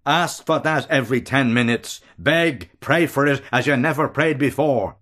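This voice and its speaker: A man's voice: medium pitch, normal speed, fairly bright tone, pitched above the performer's own voice, lightly acted. A man voicing a brutal, blustering male brothel keeper who talks in a coarse, bullying, gloating way.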